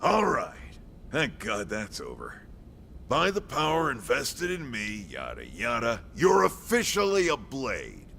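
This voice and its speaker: An actor playing a sardonic, folksy military commander in a video game cutscene. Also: male voice, mid-range voice, wildly swooping pitch, measured pace, gravelly, pushed and bright, a little hiss or hum, heavily acted. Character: gruff, wisecracking male military commander. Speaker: commanding officer briefing recruits.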